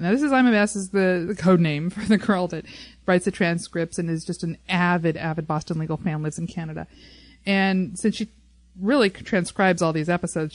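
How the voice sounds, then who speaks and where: A woman's voice: fairly low pitch, dry recording. A woman co-hosting a casual fan podcast, chatty and rambling as she talks. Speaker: podcast co-host. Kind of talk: casual fan discussion of a TV show.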